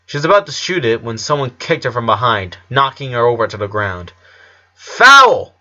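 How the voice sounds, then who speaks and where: A man's voice: medium pitch, brisk, sharp tone, muffled recording. A man reading a scripted story aloud as an audiobook narrator.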